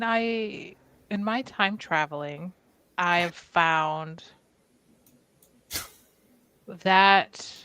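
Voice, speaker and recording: Woman roleplaying at the table, talks real slow, pauses a lot. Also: fairly low pitch, fairly bright tone, clear, resonant tone, dry recording. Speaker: tabletop game player. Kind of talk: in-character roleplay.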